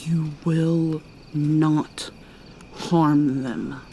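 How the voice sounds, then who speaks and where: Woman playing a shy soft mermaid girl, slow whispery talk, low warm voice. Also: steady tone, a little hiss or hum. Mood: defiant. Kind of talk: ASMR roleplay.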